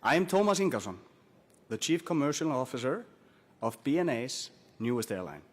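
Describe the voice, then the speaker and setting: A man from Iceland presents as a company executive at a formal announcement. His delivery is that of a prepared business speech to an audience. His voice sits at a medium pitch for a man. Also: very animated, normal speed. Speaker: airline chief commercial officer.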